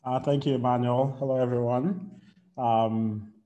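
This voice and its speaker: A man's voice: medium pitch, fairly even intonation, measured pace, dark tone, dull audio. A man from Cameroon introducing himself on a video call panel, friendly and upbeat.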